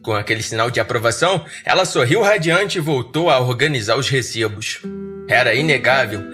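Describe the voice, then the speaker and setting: A man's voice: mid-range voice, lively intonation, brisk, pushed and bright, faint music behind. A Brazilian man hosting and narrating a horror podcast in an informal, storytelling way. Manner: informal, slangy. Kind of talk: scripted story narration and casual listener address.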